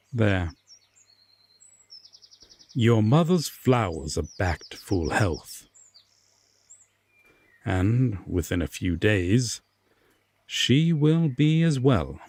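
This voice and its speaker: A man playing a solemn, regretful Fae king with hidden tenderness, speaking formally and with measured pauses in an audio roleplay drama. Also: on the low side, big pitch swings, darkish tone, slightly rough, no echo, heavily acted. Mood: sorrowful, resigned. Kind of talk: slow audio roleplay drama addressed to the listener.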